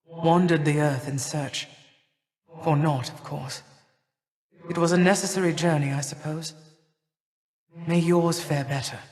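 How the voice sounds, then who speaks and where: A woman's voice: low-pitched, long reverb tail. A woman playing a stern, imperious elder goddess in a video game, speaking formal, archaic lines with haughty, cold disdain. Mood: bitter, brooding. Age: older. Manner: haughty, formal, archaic diction with measured scorn. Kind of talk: theatrical video game character acting.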